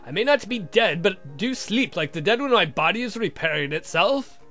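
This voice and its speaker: A man's voice: sits high, big pitch swings, normal speed, sharp tone, soft background music, heavily acted. A man voicing a grumpy, ranting vampire count. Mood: defensive. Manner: exasperated, ranting. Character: grumpy foul-mouthed male vampire count, irritable and weary.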